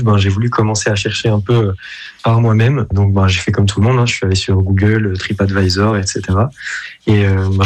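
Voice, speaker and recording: A man's voice: lowish voice, darkish tone. A man from Belgium, founder of an app, answering radio interview questions with many fillers and restarts.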